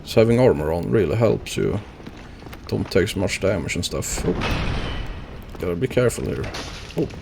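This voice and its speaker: Gravelly-voiced man streaming a game. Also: low voice, expressive, normal speed, background noise. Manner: rambling, lots of fillers, chuckles at his own deaths. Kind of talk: casual gameplay commentary.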